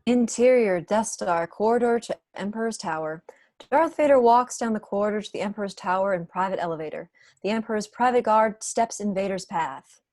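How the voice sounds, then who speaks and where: A woman's voice: medium pitch, fairly quick, a little mellow, dry recording. A woman narrator reading out stage directions at a scripted table read. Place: indoor room at home, video call.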